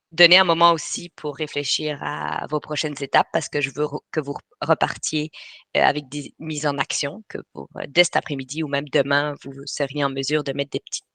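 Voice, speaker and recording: A woman in her thirties with a Belgian French accent, hosting a webinar for aspiring authors. She talks in quick bursts with lots of fillers and restarts, and goes soft and slow when she guides a visualization. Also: deep voice, no echo. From Belgium (Brussels). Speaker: writing coach and webinar host. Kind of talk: live webinar presentation. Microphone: video call.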